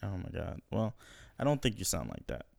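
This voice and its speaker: A man's voice: fairly low pitch, very animated, a little fast, creaky, darkish tone, no echo. A young man hosting a casual podcast at home, slangy and laid-back, swearing often. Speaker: podcast host. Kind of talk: casual profane podcast chat.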